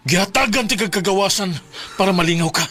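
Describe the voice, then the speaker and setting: An actor playing a wounded, stern betrayed husband with dramatic, reproachful radio drama delivery. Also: male voice, sits high, fast talker, bright, forceful tone. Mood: angry, hurt.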